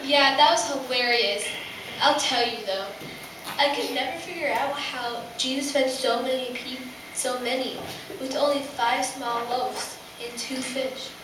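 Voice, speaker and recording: A woman playing an anxious, sceptical male disciple in an amateur puppet show, speaking haltingly and earnestly. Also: high voice, steady tone. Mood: reminiscing. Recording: very echoey, distant mic, noisy.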